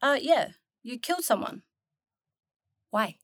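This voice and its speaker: Woman acting a high, animated, blunt young girl. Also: slow, no room sound, clean studio sound. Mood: challenging. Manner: informal, blurting, animated. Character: blunt, jittery, sarcastic young girl in afterlife. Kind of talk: fantasy audio drama acting.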